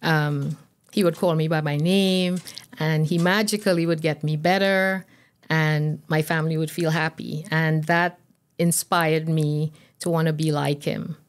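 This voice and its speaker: An older woman speaks in a notably low voice. She explains things in a measured, conversational way, as a medical guest answering interview questions. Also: fairly even intonation. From Trinidad and Tobago. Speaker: guest pediatrician. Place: small indoor podcast studio. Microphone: boom-arm podcast microphone.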